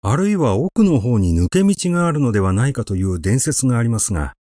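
A man reading literary fiction aloud as scripted narration, in a measured, dramatic storytelling manner. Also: mid-range voice, big pitch swings, warm tone, dry recording, clean studio sound. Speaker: audiobook reader. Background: music.

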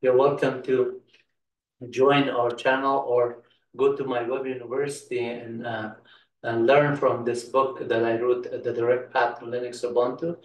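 Middle-aged male instructor, Afghan accent, Persian native. Even, halting delivery, distant mic in a roomy space. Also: medium pitch, a little hiss or hum. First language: Persian (Farsi). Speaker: computer science instructor. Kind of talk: informal unscripted tutorial talk. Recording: roomy echo, distant microphone.

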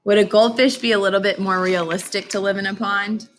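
A woman's voice: medium pitch, fairly even intonation, a little fast, slight background noise. A young woman teacher doing a read-aloud lesson, explaining and asking questions as if to young children. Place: classroom, indoors.

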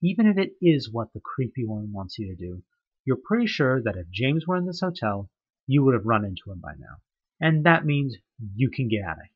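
A man tells a horror story straight to the listener, his voice swinging widely in pitch as he builds dread. His tone is slightly husky. The recording is dull and lo-fi. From United States. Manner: tense, dramatic storytelling with rising questions. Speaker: narrator of a fan audioplay. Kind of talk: second-person horror narration.

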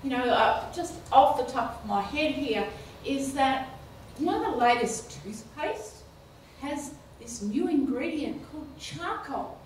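Slow-talking woman in an echoey hall. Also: sits high, expressive, distant mic, a little hiss or hum. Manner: halting, many fillers and restarts, rising into laughing exclamations. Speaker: keynote speaker. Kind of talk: panel discussion. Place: lecture hall, indoors. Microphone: handheld mic.

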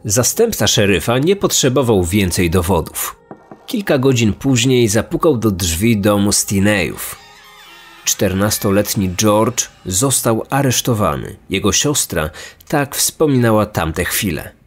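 Man, low voice, scripted true-crime podcast narration, music underneath. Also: lively intonation, unhurried. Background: background music.

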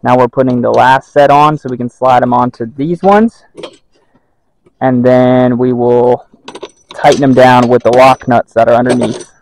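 A man calmly explaining how to mount a rooftop tent, step by step. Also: medium pitch, moderate pace, slight background noise, low-quality recording. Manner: calm, methodical.

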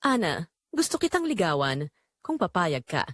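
A woman voicing a kind young male veterinarian, a soft-spoken and earnest suitor in a romance story. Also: fairly low pitch, sing-song, normal speed, no room sound, studio quality. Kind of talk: audio romance story dialogue.

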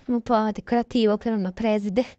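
High-pitched chatty woman, dull recording. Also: fairly even intonation, a little fast, warm tone, no echo. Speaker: narrator voicing a toy-game roleplay video. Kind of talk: casual improvised roleplay narration of a daily routine.

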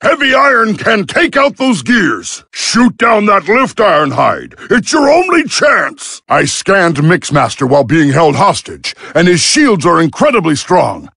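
An actor playing a gruff robot medic soldier in a video game, with a raspy voice and a dry, urgent delivery. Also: male voice, sits high, big pitch swings, a little slow, few pauses, sharp tone, no room sound, heavily acted. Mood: focused, commanding. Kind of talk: scripted video game battle dialogue.

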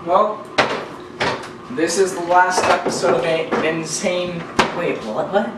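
A teenage boy hosting a casual cooking vlog, talking haltingly with many fillers in a home kitchen, recorded by a far camera. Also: high voice, fairly even intonation. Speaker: vlog host. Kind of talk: casual chat. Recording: echoey kitchen from far off, noisy.

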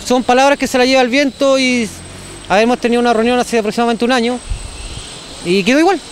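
A man in his thirties speaks in a doubtful, resigned tone during a street interview. His voice sits very high for a man, with faint outdoor noise behind. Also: quick pace. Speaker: interviewed resident. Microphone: handheld reporter microphones.

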